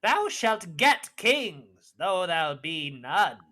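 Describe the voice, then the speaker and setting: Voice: mid-range voice, big pitch swings, drawn-out words, sharp tone, exaggerated voice. A student actor voicing a gleeful withered witch who chants prophecies in the style of a 1940s radio Shakespeare play. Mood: eerie, gleeful.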